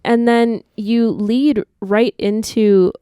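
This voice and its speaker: A woman's voice: fairly high pitch, steady tone, slow pace, warm tone, clear, resonant tone, no echo, clean studio sound. A woman co-hosting a college radio music review, giving opinionated takes from her notes with frequent use of "like". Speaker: co-host of a music review show. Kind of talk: casual music review chat.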